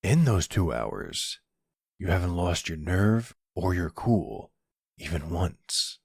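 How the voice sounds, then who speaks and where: Man playing a gravelly, very low-voiced mafia boss. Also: sing-song, no echo, studio quality, heavily acted. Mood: calm, admiring.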